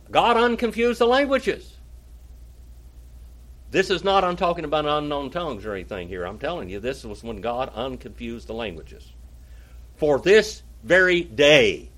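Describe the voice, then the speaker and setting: A man with an Oklahoma twang leads a Bible study with a slightly high voice. He talks in a folksy way, quoting scripture and asking his listeners questions by name. It sounds like a live teaching session in a church hall. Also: a bit bright. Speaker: pastor.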